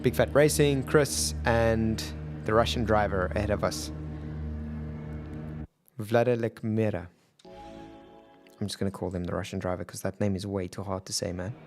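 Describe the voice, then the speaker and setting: A man's voice: on the low side, steady tone, moderate pace, long pauses, faint music behind. A man with a South African accent, chatting and thinking aloud as he streams a sim racing game. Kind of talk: live gameplay commentary. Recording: loud racing game engine noise behind. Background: loud car engine sounds from the game.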